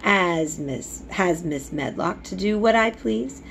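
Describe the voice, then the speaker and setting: A woman's voice: lowish voice, expressive, takes it slowly, gravelly, fairly bright tone, a little hiss or hum, full character voice. A woman voicing a timid, flustered young maidservant with a faltering, deferential manner in a storybook. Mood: nervous, pleading.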